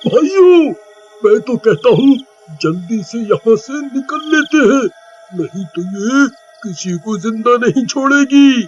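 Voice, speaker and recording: A man playing an excitable, alarmed village man in a cartoon horror story. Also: very high-pitched, takes it slowly, lo-fi sound, a little hiss or hum, exaggerated voice. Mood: panicked. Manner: alarmed, exclaiming. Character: panicky, excitable village man scared of ghosts.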